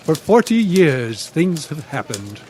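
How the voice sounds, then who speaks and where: Man acting genial, rambling old newspaperman, lively high pitch. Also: slow, crowd noise. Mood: genial, musing. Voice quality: hesitant, stammering when flustered. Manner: genial, meandering, absent-minded. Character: mild, rambling, loyal old newspaper columnist, flustered and deferential. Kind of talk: old-time radio drama acting. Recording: live stage recording with background noise.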